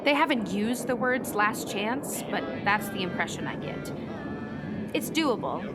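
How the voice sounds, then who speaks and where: A woman's voice: mid-range voice, animated, unhurried, close to an everyday voice. Woman as an earnest storm tracker, music behind. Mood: subdued. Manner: conversational, hesitant, thoughtful. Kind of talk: naturalistic radio play acting.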